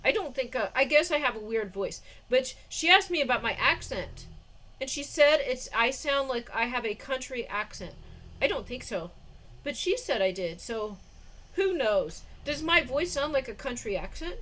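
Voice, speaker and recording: A woman in her forties rambles through a casual home vlog, her voice sitting fairly high, stopping for fillers and bursts of laughter. She talks into a phone or camera mic indoors. A faint background hiss runs under the recording. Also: animated, moderate pace, a bit bright, poor audio. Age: 40s. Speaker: vlogger.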